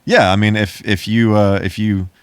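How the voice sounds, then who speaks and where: A man with a deep, resonant voice co-hosts a casual podcast. He speaks in a laid-back, halting, informal way, and the sound is close and dry. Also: flat delivery, clean tone, no room sound. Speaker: podcast co-host. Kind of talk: casual, informal movie chat.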